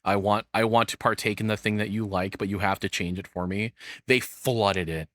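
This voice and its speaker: A man's voice: on the low side, fairly even intonation, normal speed, a bit bright, no room sound, clean studio sound. A man giving casual, opinionated reaction commentary as a streamer, rambling bluntly and swearing freely.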